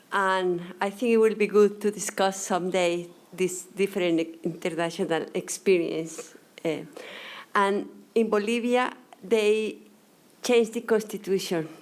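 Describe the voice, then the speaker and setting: Halting woman commenting from the audience. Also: medium pitch. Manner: halting, searching for words. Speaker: audience member. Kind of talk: question period comment.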